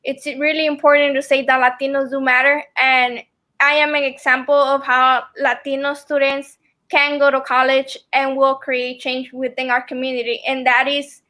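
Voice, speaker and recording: A woman's voice: sits high, bright, forceful tone. Earnest young woman, Spanish native. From family from El Salvador. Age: young adult. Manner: earnest, halting, with many ums. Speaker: student guest. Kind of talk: earnest video call interview. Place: room at home. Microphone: video call.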